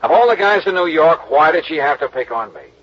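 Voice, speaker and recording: A man's voice: on the high side, animated, a little fast. An American man playing a tough, wisecracking insurance investigator in a 1950s radio detective drama, clipped and pressing. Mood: wry narration. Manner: clipped, hard-boiled, pressing. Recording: dull old radio recording.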